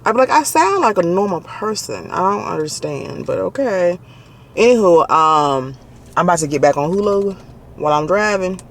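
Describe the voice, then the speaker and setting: A man with a Southern Alabama accent vlogging casually from his car, animated and laughing often as he talks to a handheld camera. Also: medium pitch. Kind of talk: casual driving vlog. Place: in a car, driving. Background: faint car and road noise.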